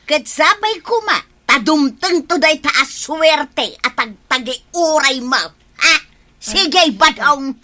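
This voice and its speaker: Bright-voiced fed-up Ilocano village wife, played by an actress. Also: female voice, high voice, expressive, slow, heavily acted. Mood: defiant. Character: fed-up, strong-willed village wife leaving on vacation. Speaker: actor in Ilocano comedy radio drama. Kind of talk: comedy radio drama acting.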